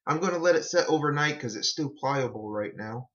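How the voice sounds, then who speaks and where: A man giving a casual how-to narration in an unhurried, folksy way, talking through each step as a hobbyist. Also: fairly high pitch, animated, fairly bright tone. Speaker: hobbyist demonstrating a repair. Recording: dull, lo-fi home recording.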